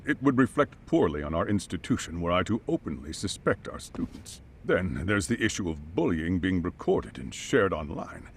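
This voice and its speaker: A guarded, self-protective head of school in a video game drama. He is deep and creaky, with formal, carefully hedged phrasing over faint ambient noise. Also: heavily acted. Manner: formal, hedging, carefully worded. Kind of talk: English-dubbed video game cutscene acting.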